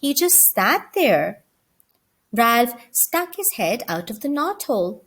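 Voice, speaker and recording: A woman reading a children's story aloud as an expressive narrator. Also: on the high side, takes it slowly, breathy edge.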